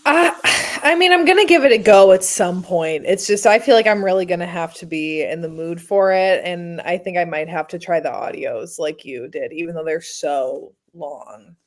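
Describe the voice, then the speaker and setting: A woman's voice: fairly low pitch, moderate pace, few pauses. A woman talking casually about books as a co-host on a chat show.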